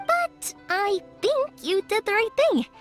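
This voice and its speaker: A high, bouncy young fireworks maker full of sing-song cheer. Her tone swoops up and down as she teases and encourages. Soft game music sits faintly behind her. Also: slow, full character voice. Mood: cheerful. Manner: lively, warm-hearted, playful. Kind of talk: video game voice acting.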